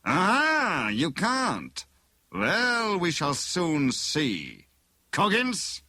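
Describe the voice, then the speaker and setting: Man voicing a sneering, menacing villain, pitched high. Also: wildly swooping pitch, pushed and bright, dry recording, studio quality, full character voice. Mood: coldly insistent. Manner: deliberate, formal, snarling threats. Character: menacing, sneering male villain posing as inspector. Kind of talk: dramatised audiobook dialogue.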